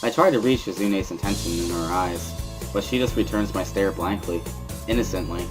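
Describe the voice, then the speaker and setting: Low-voiced man narrating over music. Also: moderate pace. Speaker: narrator and reader. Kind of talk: amateur dramatic reading, first-person visual novel narration.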